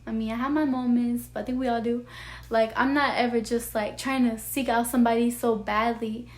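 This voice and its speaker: Young woman vlogger, soft and childlike, a bit high, earnest and rambling. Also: flat delivery, fairly quick. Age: young adult. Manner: earnest, rambling, full of "like" and "you know". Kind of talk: heartfelt personal talk to camera.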